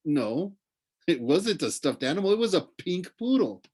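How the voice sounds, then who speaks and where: A man narrating a children's novel aloud like a teacher reading to students, in an expressive storytelling way. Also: a little high, normal speed, slightly rough.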